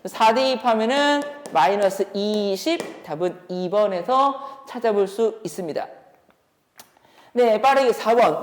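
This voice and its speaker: Echoey, animated woman math instructor. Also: fairly high pitch. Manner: instructive, poses questions while working through steps. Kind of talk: exam solution lecture, step-by-step explanation. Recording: echoey room with long reverb.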